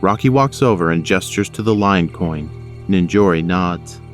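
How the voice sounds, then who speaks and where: Deep-voiced male audio drama narrator. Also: moderate pace, faint music behind.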